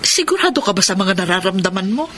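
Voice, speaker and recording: A woman's voice: mid-range voice, quick pace, background noise, close to an everyday voice. Woman acting a swooping, fretful Filipino mother. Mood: probing. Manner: expressive, sighing, fretful, admonishing. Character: concerned, reproachful older mother counselling her married daughter. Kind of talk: Filipino radio soap opera acting.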